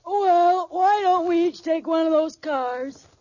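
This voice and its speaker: An actress playing a dopey, easygoing desert nomad with slangy comic delivery in an old radio comedy. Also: female voice, very high voice. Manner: slow, dim-witted comic deadpan, slangy. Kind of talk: 1940s radio comedy acting. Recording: noisy, dull old radio recording.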